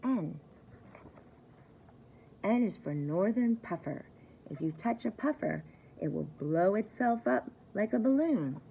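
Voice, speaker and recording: A woman reading aloud for young children like a preschool teacher, in a simple, deliberate way, repeating key words. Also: medium pitch, expressive, vocal fry, dark tone, a little hiss or hum. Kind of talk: children's story time read-aloud.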